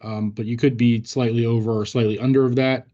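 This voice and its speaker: Male training presenter with a mellow, mid-range voice, explaining procedure informally and somewhat haltingly. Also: mid-range voice, little pitch movement, dull audio.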